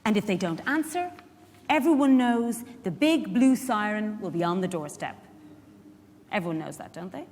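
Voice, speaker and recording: A woman's voice: medium pitch, expressive, moderate pace, darkish tone, slight background noise, close to an everyday voice. An Irish woman actor playing a chatty, frank, wry carer in a theatrical monologue, putting pointed questions to her listeners. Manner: conversational, pointed rhetorical questions. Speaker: actor in a dramatic reading. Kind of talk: theatrical monologue addressed to a live audience. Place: large indoor hall at a public event. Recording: echoey hall, live stage reading.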